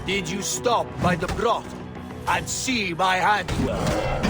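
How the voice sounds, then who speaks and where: A boastful, bloodthirsty warlord boss from a game. He taunts and bellows in a very high male voice, with loud music all around. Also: lively intonation, slow pace. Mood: sneering. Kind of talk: video game boss dialogue.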